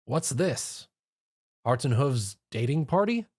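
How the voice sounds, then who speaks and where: A man's voice: medium pitch, very animated, slow pace, darkish tone, breathy edge, dry recording, pitched above the performer's own voice, exaggerated voice. A man doing a dry, level-headed young man.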